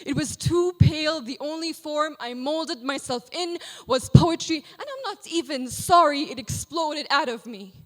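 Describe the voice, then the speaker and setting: High-pitched woman performing slam poetry. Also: animated. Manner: dramatic, emphatic recitation with deliberate pauses. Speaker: slam poet in competition. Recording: reverberant hall.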